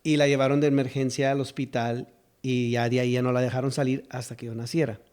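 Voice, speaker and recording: A man's voice: mid-range voice, flat delivery, quick pace, a little mellow, clear, resonant tone. A man in his fifties from South Texas, a pastor and guest, telling stories from his life in a hesitant, restarting way with easy laughter. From South Texas, United States. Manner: hesitant, with frequent fillers and restarts, laughs easily. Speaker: pastor and singer-songwriter, guest. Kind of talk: conversational storytelling.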